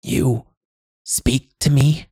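A man voicing a hunched, eager and bitter old woman, an outcast mage in a fantasy story, with a croaking, breathy voice. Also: medium pitch, steady tone, warm tone, no echo, clean studio sound, pitched above the performer's own voice. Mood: wary. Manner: halting fragments, then eager outpouring.

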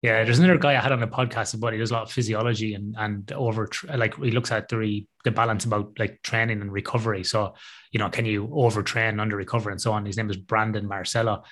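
Male podcast host, rapid casual delivery, joking and teasing interview manner. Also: fairly low pitch, fairly even intonation, a bit bright.

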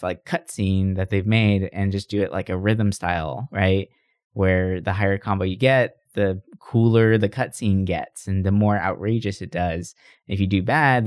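Male podcast co-host, lowish voice, dry close sound, informal and rambling gamer talk. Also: a little mellow, no echo. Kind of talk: casual gaming chat.

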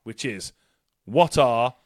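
A man's voice: mid-range voice, expressive, slow pace, dry recording. A man co-hosting a casual podcast, swearing, joking and rambling through his announcements into a close mic. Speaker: podcast co-host. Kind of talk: casual chat.

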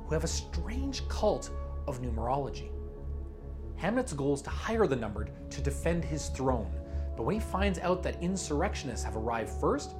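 Man, mid-range pitch, lively intonation, scripted promotional narration, storyteller-like emphasis, faint music underneath. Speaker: creative director presenting a crowdfunding pitch.

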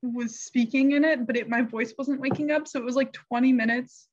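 A woman's voice: high-pitched, fairly even intonation, fairly quick, muffled recording. A young woman in casual chat, telling meandering stories and laughing often. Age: young adult.

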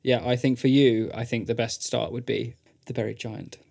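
A man's voice: medium pitch, steady tone, moderate pace, gravelly, a little mellow. A man co-hosting a casual podcast, talking thoughtfully and stumbling over words now and then. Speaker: podcast co-host.

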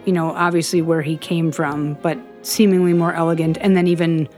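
American female co-host, lowish easygoing voice, relaxed rambling talk, faint music under her. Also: fairly quick. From United States. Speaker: podcast co-host. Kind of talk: casual chat about classical music.

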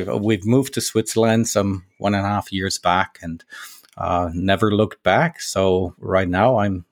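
A German man in his forties, a native German speaker, explaining things in a measured way with frequent restarts as a guest in a casual interview. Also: deep voice, dry recording, clean studio sound. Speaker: podcast guest, market research founder.

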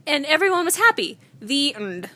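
Girl podcast cohost, high bright voice, goofy mock-serious slangy banter. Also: very animated, normal speed. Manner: giggly, easily cracks up. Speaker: podcast co-host. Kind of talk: casual banter and comic dramatic reading.

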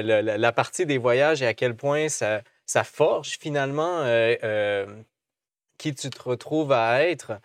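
A man's voice: medium pitch, clean tone, no room sound, studio quality. A man hosting an interview, asking thoughtful questions while searching for his words. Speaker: host. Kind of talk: conversational interview.

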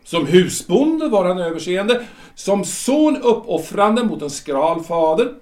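A wry male guide to the dead, played by a man for an audio drama, who probes and reads out verdicts with measured pauses. His voice sits high and swoops lively across its range. The recording is roomy and echoey. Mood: probing. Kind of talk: amateur audio drama acting. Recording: echoey room.

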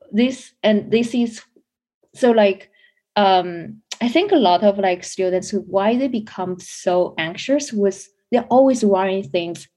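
A woman's voice: mid-range voice, darkish tone. A young woman from China, a business school student answering interview questions in a chatty way with many fillers and restarts. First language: Chinese.

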